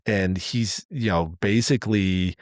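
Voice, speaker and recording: A man's voice: lowish voice, measured pace, no echo, clean studio sound. A man co-hosting a podcast, telling stories conversationally in an enthusiastic, rambling way, repeating words for emphasis. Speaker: podcast co-host.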